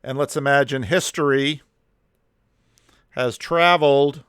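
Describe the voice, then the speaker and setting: A man commenting on a video clip, talking in animated rhetorical questions with slow, deliberate emphasis. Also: medium pitch, clear, resonant tone, no echo, studio quality.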